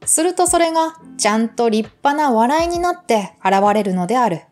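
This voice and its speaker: High-pitched woman reading literature aloud. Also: expressive, moderate pace, a little mellow. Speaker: audiobook reader. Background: background music.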